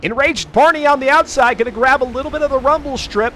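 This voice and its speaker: A high-voiced man calls a sim race as the stream's host. His patter is folksy and chatty, with jokey asides. Car engine noise runs behind his voice. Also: fast talker, fairly bright tone. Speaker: sim racing livestream commentator and host. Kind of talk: live racing commentary. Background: car engines and race ambience.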